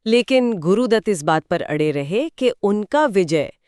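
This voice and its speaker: A woman's voice: mid-range voice, animated, unhurried, a little mellow, no room sound, studio quality. A woman giving explanatory, conversational film commentary in an earnest storytelling way. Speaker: podcast co-host.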